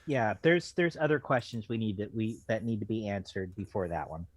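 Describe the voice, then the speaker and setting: A man's voice: on the low side, brisk, no echo. A man chatting casually as a guest, reacting in a dry, hesitant, sweary way. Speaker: guest on an online group show. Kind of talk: casual chat.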